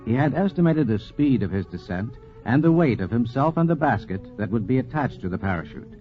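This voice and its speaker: A male narrator from a 1940s radio programme reads with dramatic emphasis. His medium-pitched voice moves expressively up and down. The sound is a dull old broadcast with light music. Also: big pitch swings, brisk.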